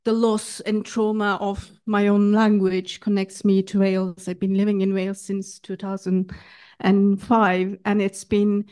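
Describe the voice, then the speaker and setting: A woman's voice: mid-range voice, level tone, measured pace, darkish tone, smooth. A woman whose first language is Kurdish speaks at a live literary gathering. She introduces a musician in a mid-range voice, hesitating often and restarting her sentences. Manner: hesitant, with frequent fillers and restarts. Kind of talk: informal spoken introduction at a literary event. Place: live public event.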